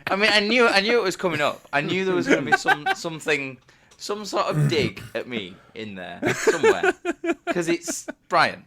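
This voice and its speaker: Play a Yorkshire man ribbing his co-hosts drily, tone bright and sharp, pitch moving a lot. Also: wildly swooping pitch, normal speed, gravelly. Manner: dry, teasing wit. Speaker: podcast co-host. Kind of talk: casual chat.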